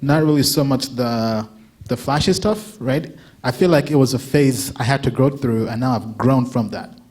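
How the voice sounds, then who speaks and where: Husky young man, loose conference talk. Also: mid-range voice, moderate pace, darkish tone. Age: young adult. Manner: casual, frequent "right?" tags and restarts. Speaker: crypto analyst giving a talk.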